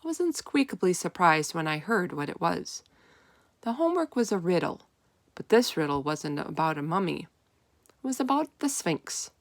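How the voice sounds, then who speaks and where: A woman reading a children's story aloud in an expressive, emphatic way, stretching out key words. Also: on the low side, normal speed, dry recording. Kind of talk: expressive children's read-aloud narration in first person.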